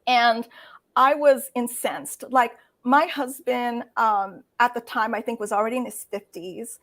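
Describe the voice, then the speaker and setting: A middle-aged woman speaking as a guest writer in a casual interview, earnest and halting, with many fillers and restarts. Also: on the high side, steady tone, a bit bright. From United States.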